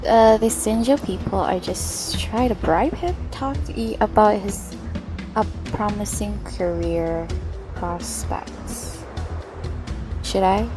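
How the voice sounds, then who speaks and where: A female streamer comments casually on the game she is playing. She talks in slow, unhurried bursts, with game music behind her. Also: medium pitch.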